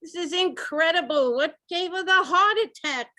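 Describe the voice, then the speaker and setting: Woman playing a high-pitched, distraught rich mansion owner. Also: normal speed, sharp tone. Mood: stunned despair. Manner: dramatic, incredulous, repetitive laments. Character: distraught rich mansion owner, husband, reeling from piling losses. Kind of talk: old-time radio comedy acting.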